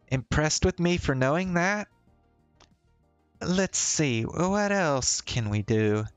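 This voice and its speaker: A man's voice: on the high side, lively intonation, takes it slowly, smooth, no echo, pitched above the performer's own voice. A man voicing a chatty, playful young woman in a visual novel, with a teasing, breezy manner. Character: young woman, casual, chatty, playful story-game heroine. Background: faint music.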